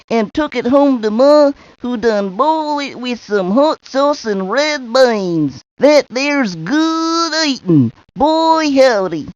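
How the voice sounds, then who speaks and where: A woman's voice: sits high, no room sound. A woman voicing a folksy, drawling country boy with a Southern US accent for a cartoon video game. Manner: drawling, folksy backwoods talk. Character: folksy country boy who loves fishing and eating. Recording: dull lo-fi 1990s game audio.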